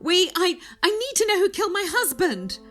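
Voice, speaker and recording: An actress playing a sharp-tongued, distraught widow in a podcast drama, snappish and emotional. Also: female voice, very high-pitched, big pitch swings, moderate pace, soft background music, heavily acted. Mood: desperate, pleading. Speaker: actor in audio drama.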